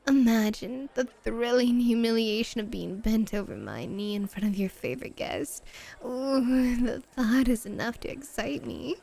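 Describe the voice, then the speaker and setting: A woman's voice: a little high, animated, measured pace, creaky, dark tone, exaggerated voice. A woman playing a flirty, teasing, self-assured club hostess in an ASMR roleplay. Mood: teasing, confident.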